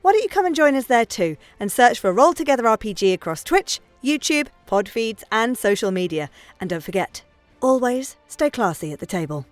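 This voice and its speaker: A woman's voice: fairly high pitch, very animated, a little fast, no echo. A woman giving a scripted promotional announcement in a chummy way, as a game streamer speaking to her listeners. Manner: chummy, addressing listeners as friends. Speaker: game streamer and dungeon master. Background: music.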